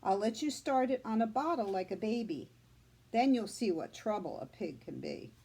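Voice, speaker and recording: A woman voicing a patient farmer father in a storybook, gentle and reasoning but firm. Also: medium pitch, expressive, moderate pace, vocal fry, slightly rough. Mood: relenting, patient.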